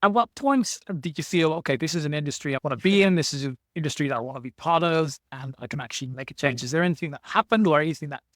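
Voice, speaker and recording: A man's voice: a little high, fast, few pauses, fairly bright tone, dry recording, studio quality. A man hosting a podcast, asking conversational interview questions in an encouraging, informal way. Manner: encouraging, casual, informal phrasing.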